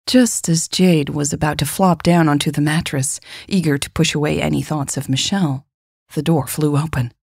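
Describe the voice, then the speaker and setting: A woman narrates a scripted story in a low voice. It is husky and gravelly, and the sound is close and dry, as befits a hosted fiction podcast. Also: steady tone, normal speed, darkish tone, dry recording. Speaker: podcast host and author narrating her story.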